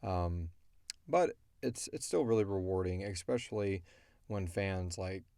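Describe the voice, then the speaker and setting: Guy with a lowish voice chatting casually on a podcast, rambly, jokes at his own expense, dry sound. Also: a little slow, mellow, no room sound. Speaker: podcast host and creator. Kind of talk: casual question-and-answer chat.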